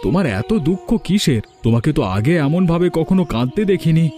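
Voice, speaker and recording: Man playing a quick-talking, fretful village husband. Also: on the high side, lively intonation, mellow, soft background music, close to an everyday voice. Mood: inquisitive. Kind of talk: Bengali cartoon story dialogue.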